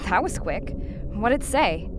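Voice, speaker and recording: A woman's voice: medium pitch, very animated, measured pace, loud music behind the voice. A woman playing a blunt, sarcastic, squeamish member of an exploration crew in an audio drama. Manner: sardonic, blunt.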